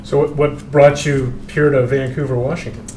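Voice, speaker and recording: A man's voice: medium pitch, little pitch movement, normal speed, dark tone, a little hiss or hum. A man interviewing a guest, chiming in with short, friendly remarks and questions. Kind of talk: casual interview. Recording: roomy, mic at a distance.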